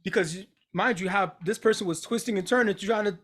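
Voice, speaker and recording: A man co-hosting a casual chat, talking bluntly with lots of swearing and animated retelling. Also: sits high, fairly quick. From United States. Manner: blunt, profane, animated storytelling. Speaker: podcast co-host.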